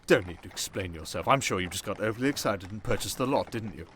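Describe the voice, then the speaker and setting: A man's voice: fairly low pitch, lively intonation, quick pace, fairly bright tone, slight background noise. A man playing a smug, sarcastic vampire noble in an ASMR fantasy audio drama, talking in a flippant, theatrical way. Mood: indignant, sarcastic.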